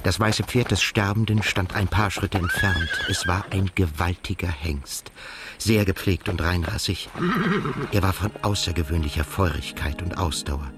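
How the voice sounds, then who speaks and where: A male actor playing a melancholy, haunted émigré narrator in a literary radio drama, speaking in a measured, reflective way. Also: deep voice, over loud music, lightly acted. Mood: somber, reflective.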